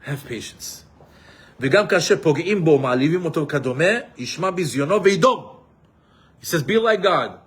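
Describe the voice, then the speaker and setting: Animated New man teaching Torah. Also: a little high, racing, slightly rough. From Israel, raised in New York. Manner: expressive, emphatic, mixes Hebrew quotes into English.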